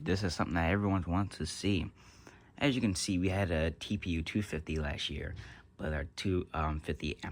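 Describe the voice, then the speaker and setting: Deep-voiced man giving a casual tech walkthrough. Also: a little hiss or hum. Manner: rambling, trailing off with repeated filler phrases. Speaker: tech vlogger demonstrating an office phone system.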